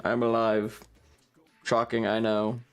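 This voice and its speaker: A man chats casually to his livestream audience at an unhurried pace. His mid-pitched voice often drops into a creaky fry. He rambles playfully, joking with mock indignation, while faint music plays behind him. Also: monotone. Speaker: live streamer and host. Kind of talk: casual livestream chat with viewers.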